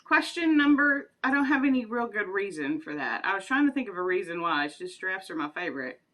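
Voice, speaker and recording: Bright-voiced chatty woman. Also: mid-range voice, very animated, a little fast. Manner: chatty, rambling, giggly. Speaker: vlogger. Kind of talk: casual chat answering would-you-rather questions.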